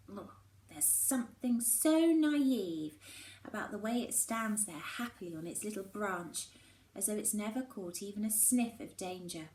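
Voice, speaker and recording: A woman teacher reading a children's novel aloud in an expressive storytelling style. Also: medium pitch. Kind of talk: expressive storybook read-aloud with acted dialogue. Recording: roomy echo with faint background noise.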